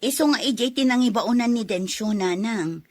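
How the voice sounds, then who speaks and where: A woman's voice: a little high, moderate pace, no echo, natural voice. A woman playing a matter-of-fact adult daughter from a village in a Filipino audio drama.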